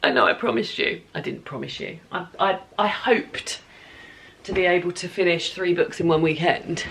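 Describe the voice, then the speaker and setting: Woman, book vlogger, rambling home diary, gravelly fry, faint background hiss. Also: lowish voice, moderate pace. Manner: chatty, rambling, self-correcting. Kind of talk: casual vlog diary.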